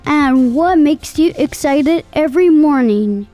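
A woman interviewing a guest with brief, measured questions. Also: high-pitched, expressive, warm tone, no room sound, faint music behind.

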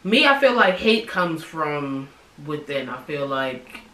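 A man's voice: fairly high pitch, very animated, takes it slowly, bright, forceful tone. A man co-hosting a casual podcast chat, speaking earnestly and with strong opinions, often repeating his phrases. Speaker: podcast co-host. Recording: roomy sound from a distant mic.

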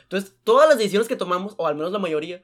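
Fast-talking, slangy young man. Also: high voice. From Mexico. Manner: slangy, animated, fast storytelling. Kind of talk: casual chat.

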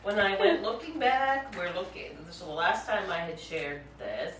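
A woman's voice: mid-range voice, lively intonation, moderate pace. A woman in casual group conversation as a member of a writing group, joking and a little self-deprecating. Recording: noisy echoey room, distant mic.

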